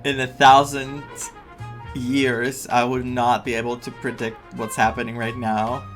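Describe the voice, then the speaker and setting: A woman streamer reacts casually to a game. Her voice is extremely low for a woman and her pace is unhurried, with informal, incredulous phrasing and faint music underneath. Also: little pitch movement.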